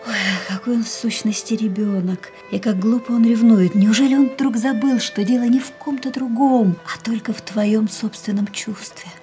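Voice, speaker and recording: A wistful young woman, played by a woman in a radio play. Her voice is soft, fairly high and mellow. Faint music sits behind her. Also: fairly even intonation, moderate pace, few pauses, close to an everyday voice. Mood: bitter. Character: young ill woman under thirty, wistful.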